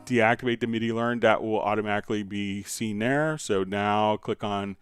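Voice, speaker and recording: A man hosting a live stream, casually explaining software step by step while he demonstrates it. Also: lowish voice, fairly even intonation, normal speed, smooth, soft background music.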